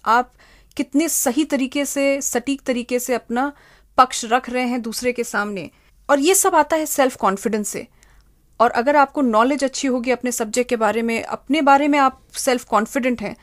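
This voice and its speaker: A woman giving methodical, step-by-step advice as an interviewed expert. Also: a little high. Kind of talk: interview answers, explanatory advice.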